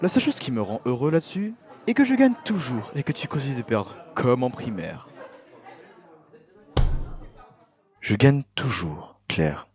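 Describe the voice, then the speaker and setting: A man's voice: mid-range voice, very animated, moderate pace, a little mellow, close to an everyday voice. Smug, swooping schoolboy bully played by a man. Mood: gloating. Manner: taunting, melodramatic. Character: smug, theatrical schoolboy bully, teacher's favourite. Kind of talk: fan-dub cartoon acting.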